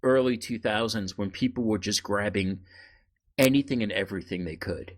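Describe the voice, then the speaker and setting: A man's voice: fairly low pitch, normal speed. Man telling stories in casual podcast chat. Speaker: podcast co-host.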